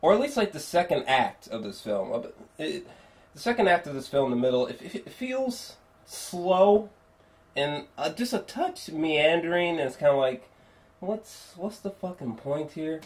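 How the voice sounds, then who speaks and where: A man's voice: fairly high pitch, sing-song, a little slow. A man giving a casual, unscripted film review, speaking in a rambling, emphatic way peppered with profanity.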